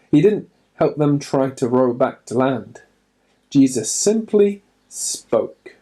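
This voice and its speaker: Slow, mellow-voiced man reading a storybook. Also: mid-range voice, low-quality recording. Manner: expressive, lively storytelling with dramatic emphasis. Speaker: church storybook reader.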